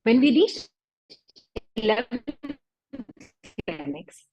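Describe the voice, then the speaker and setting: A woman with a Pakistani accent, a doctor and founder whose first language is Urdu, giving an earnest, measured talk at an event with occasional restarts. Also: a little high, big pitch swings, darkish tone, dry recording, dull audio. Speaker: doctor and health startup founder.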